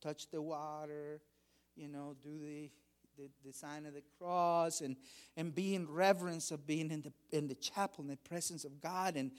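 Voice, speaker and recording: A middle-aged man from Honduras preaching a sermon, measured and emphatic, with quieter stretches for personal stories. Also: fairly high pitch. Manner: measured preaching, rising emphasis on key phrases. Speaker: guest preacher.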